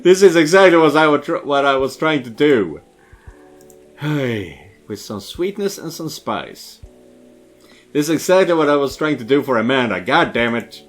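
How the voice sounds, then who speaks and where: Male streamer, very animated range, casual and rambling, music under the voice. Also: mid-range voice. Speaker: streamer playing and commenting on a visual novel. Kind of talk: casual let's play commentary.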